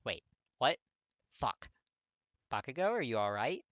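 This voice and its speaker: A man's voice: medium pitch, very animated, a little slow, fairly bright tone, dry recording, lo-fi sound, pitched above the performer's own voice. A man voicing an earnest, friendly teenage boy in a casual, eager, emphatic way for a story reading. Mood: surprised, eager.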